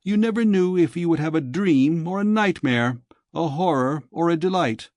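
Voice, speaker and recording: A man's voice: a little high, animated, moderate pace, darkish tone, no room sound, clean studio sound, pitched above the performer's own voice, lightly acted. A man voicing a sleek young dream-shop manager in an audiobook, with polished, formal, lecturing sales patter. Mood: suave, instructive.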